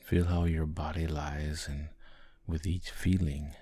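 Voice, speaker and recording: A man guiding a sleep hypnosis in a soft, calming, gentle voice with long pauses between short phrases. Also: a bass voice, slightly rough. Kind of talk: guided sleep hypnosis, soothing narration. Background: background music.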